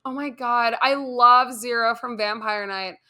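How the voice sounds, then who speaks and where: A woman plays a melodramatic emo teenage girl in a comedic story. She is gushing and quick to get indignant, with a bright, forceful voice. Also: a little high. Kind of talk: comedic first-person storytelling.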